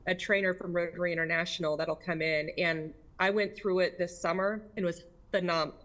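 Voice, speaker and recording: A woman's voice: fairly low pitch, fairly even intonation, a little fast, a bit bright. An American woman answering as a district governor in an interview, speaking candidly and emphatically with frequent rhetorical questions.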